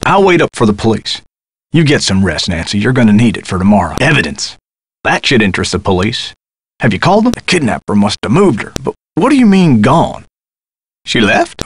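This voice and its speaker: An actor playing a friendly, helpful, folksy theater worker in a video game. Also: male voice, a little high, husky, dry recording, full character voice. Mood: anxious, questioning. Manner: folksy, encouraging.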